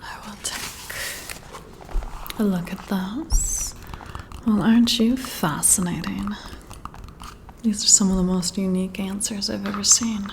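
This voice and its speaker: A woman playing a flirty, intrigued boss in an ASMR roleplay, whispering slowly and soothingly right up close to the mic. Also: mid-range voice, steady tone, background noise. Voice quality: breathy whisper with creaky edges. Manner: slow, deliberate, soothing, intimate. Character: flirty, intrigued female boss giving a personality test. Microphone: close to the mic, whispering into the ear.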